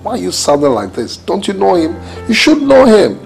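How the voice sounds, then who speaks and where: Slow, emphatic Nigerian husband, low-voiced. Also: male voice, lively intonation, gravelly, darkish tone, faint music behind, exaggerated voice. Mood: agitated, insistent. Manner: emphatic, repetitive, deliberate. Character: agitated, dramatic husband worried for his brother. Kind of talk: Nollywood domestic film drama.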